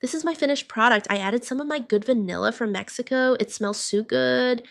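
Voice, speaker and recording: A woman's voice: on the high side, brisk, creaky, over loud music. Chatty woman narrating a vlog. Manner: chatty, addresses viewers directly. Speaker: vlogger. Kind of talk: voiced roleplay vlog narration.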